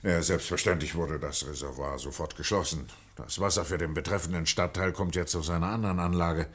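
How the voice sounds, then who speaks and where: A troubled waterworks official in a radio drama, speaking in a low voice. He explains things politely and earnestly, pausing as he searches for words. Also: fairly bright tone. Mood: puzzled, earnest. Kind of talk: German radio play acting.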